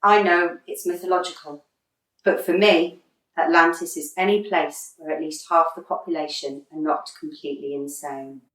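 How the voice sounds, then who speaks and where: A woman's voice: deep voice, little pitch movement, moderate pace, a bit bright, distant mic. A woman acting in a radio drama as a wry, unflappable middle-aged football manager with a dry, sardonic manner, in a roomy echo away from the mic. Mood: calm, relieved.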